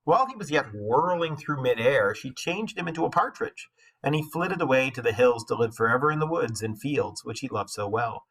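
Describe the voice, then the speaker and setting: A man with a bright, somewhat forceful voice and a husky edge to it. He speaks as a teacher, moving between expressive read-aloud storytelling and informal classroom explanation, with a conversational way of commenting as he goes. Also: mid-range voice, fairly quick, poor audio.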